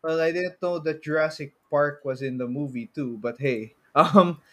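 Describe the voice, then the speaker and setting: A man's voice: fairly high pitch, moderate pace. A man with a Filipino accent co-hosting a podcast in a casual, conversational style. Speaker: podcast co-host. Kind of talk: casual chat about movies.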